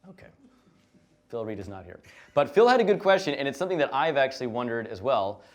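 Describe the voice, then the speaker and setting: A man's voice: medium pitch, sing-song, fast. A man hosting a live fan Q&A on a concert stage, reading questions out and teasing the band. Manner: teasing, laughing, reads out questions. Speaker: Q&A host.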